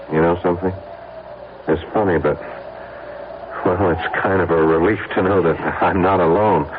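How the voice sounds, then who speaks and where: A man's voice: deep voice, fairly even intonation, measured pace, pauses often. An American man playing an uneasy, reluctant married blackmail victim in a vintage radio drama. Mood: wary. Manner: hesitant, defensive. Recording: noisy narrowband old radio recording.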